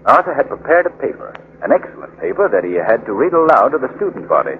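A male actor as a cultured gentleman adventurer, self-possessed and protective. His medium-pitched voice moves widely in melody, in the 1940s radio manner. The recording is muffled with some noise. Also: sing-song, quick pace, natural voice. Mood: reflective, concerned. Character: composed, educated gentleman adventurer, calm and protective. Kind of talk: 1940s radio drama acting.

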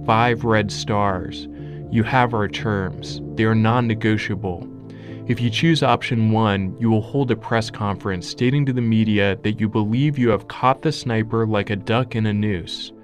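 A man playing a menacing sniper, reading out a demand message in a deliberate, commanding way. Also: fairly low pitch, soft background music, close to an everyday voice. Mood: cold, threatening. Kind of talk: dramatic reading of a transcribed message.

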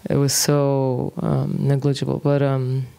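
A relaxed woman in her thirties, speaking as a guest in a conversational interview. She thinks aloud as she goes, pausing on plenty of fillers. Her delivery stays easygoing and unhurried. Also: rumbling low, vocal fry. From Kuwait. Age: 30s. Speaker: interview guest, music producer.

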